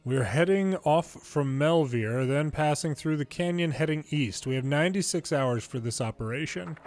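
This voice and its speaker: A man's voice: on the high side, normal speed, darkish tone. Man reading game dialogue over music. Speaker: video game commentator reading subtitles aloud. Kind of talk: dramatic read-aloud of game dialogue.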